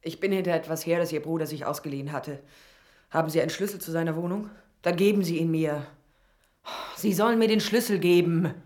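A woman's voice: low voice, fairly quick, darkish tone, close to an everyday voice. Actress as a dry, hard-boiled female private eye. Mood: curt. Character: tough sardonic female private detective narrating her case. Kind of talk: radio drama dialogue and first-person narration.

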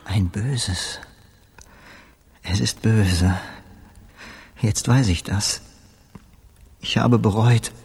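Radio play casting: devout, unhinged, remorseful musician, breathy husky tone, halting delivery, reverberant space. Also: male voice, fairly low pitch, a little mellow, exaggerated voice. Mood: haunted, remorseful. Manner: halting, repetitive, murmuring prayer-like delivery. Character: guilt-ridden, devout, unhinged male musician confessing murder. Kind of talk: German radio drama acting. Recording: roomy space with long reverb.

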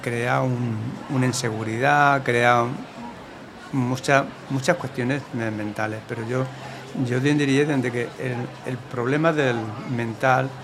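A man giving his view in a discussion, haltingly, often repeating words and phrases. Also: medium pitch, fairly even intonation. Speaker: panel participant. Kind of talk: unscripted discussion. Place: outdoors on a busy city street, live. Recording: clear outdoor city noise.

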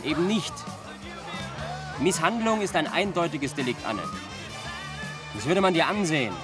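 Didactic young know-it-all, highish voice, condescending, music behind, radio drama. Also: male voice, very animated, measured pace. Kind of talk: German radio play acting.

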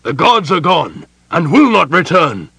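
A man's voice: medium pitch, slow pace, gravelly, pushed and bright, no room sound, voice pushed up, heavily acted. A man voicing a stern, pompous elven general in a fantasy audiobook, barking his words in a commanding, portentous way. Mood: bitter, furious.

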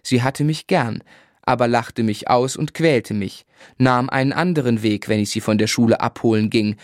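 A man's voice: medium pitch, moderate pace, no room sound, natural voice. Actor as reflective male narrator, dry studio sound. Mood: wistful. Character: grown man recounting his boyhood, wistful, gentle. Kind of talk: literary first-person narration in radio drama.

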